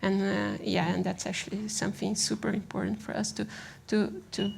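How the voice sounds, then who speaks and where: A woman from Brazil with a Brazilian accent giving an informal conference presentation in a halting, conversational manner, often checking in with the listeners. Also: medium pitch, little pitch movement, creaky, a little hiss or hum. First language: Portuguese. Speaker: conference speaker on design.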